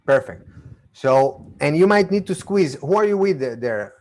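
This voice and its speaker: A man's voice: on the high side, animated, normal speed, darkish tone. A playful man with an accent from Argentina and Israel hosts an online mentalism act over a video call. He chats with his volunteers, stumbling over restarts as he goes. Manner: chatty, playful, stammering restarts. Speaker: magician and mentalist. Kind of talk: live online magic show patter.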